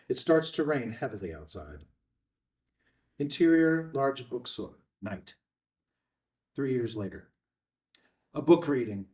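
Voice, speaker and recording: A man's voice: mid-range voice, darkish tone. A man narrating a screenplay, reading out scene headings and stage directions at a table read. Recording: slightly distant from the mic.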